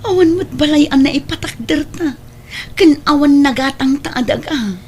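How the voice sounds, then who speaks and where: A woman's voice: sits high, moderate pace, darkish tone, a little hiss or hum, natural voice. A woman with an Ilocano Filipino accent playing a guilty, evasive older sister in an audio drama. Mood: anxious.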